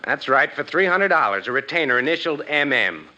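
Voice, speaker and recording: A man's voice: fairly high pitch, big pitch swings, fairly quick, pushed and bright, heavily acted. An actor playing an insistent man in a 1950s radio crime drama, with an emphatic, clipped delivery. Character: man sharply producing proof in a crime drama. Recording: noisy, muffled 1950s radio recording.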